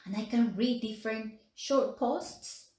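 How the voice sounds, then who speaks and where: A woman's voice: on the high side, a little slow, darkish tone, roomy sound. A woman in her forties from Peru, with a Peruvian Spanish accent, teaching English in a casual live stream with lots of fillers and tag questions. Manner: chatty, laughs often, many fillers and tag questions. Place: small room indoors.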